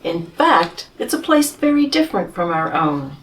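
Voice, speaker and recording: A woman reading a picture book aloud to children, gently explaining the pictures and asking them questions. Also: on the low side, very animated, normal speed, far from the mic, slight background noise.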